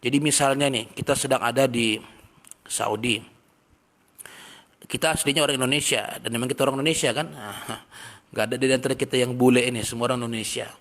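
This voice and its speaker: A man teaching a religious study lesson, reading passages in Arabic and then explaining them in a relaxed, chatty way with everyday examples. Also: mid-range voice, fairly quick, long pauses. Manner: reads Arabic text, then explains in plain talk. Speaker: Islamic teacher.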